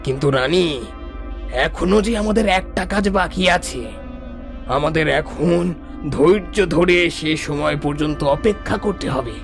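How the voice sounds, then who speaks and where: A man playing a scheming royal brother who plots for the throne in a fairy-tale cartoon, with a deliberate, theatrical delivery. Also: fairly high pitch, animated, over music. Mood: gloating, calculating.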